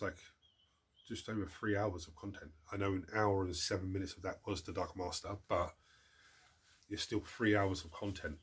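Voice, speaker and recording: A low-voiced man with a husky, breathy tone, talking casually to his viewers. He sounds blocked up from a cold and tends to ramble, doubling back and restarting his words. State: congested from a cold. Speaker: hobby channel host answering viewer questions. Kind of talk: casual chat.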